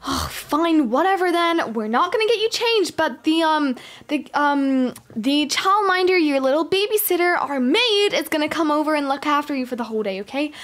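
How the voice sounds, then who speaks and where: Woman playing a high-voiced spoiled rich schoolgirl. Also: expressive, moderate pace, hardly any pauses, fairly bright tone. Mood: coaxing, exasperated. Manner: sighing, complaining, talking to herself. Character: spoiled rich schoolgirl and young mother, easily exasperated. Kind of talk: improvised game roleplay.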